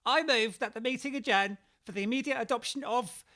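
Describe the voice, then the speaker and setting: Woman playing a pompous, bright-voiced dodo. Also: on the high side, a little fast, lightly acted. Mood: pompous, solemn. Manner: pompous, declamatory. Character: solemn, pompous dodo bird leading a race. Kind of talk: full-cast audiobook character acting.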